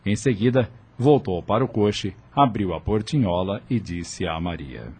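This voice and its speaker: A man narrating a radio drama in a measured, steady way. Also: fairly low pitch, breathy edge. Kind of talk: scripted narration.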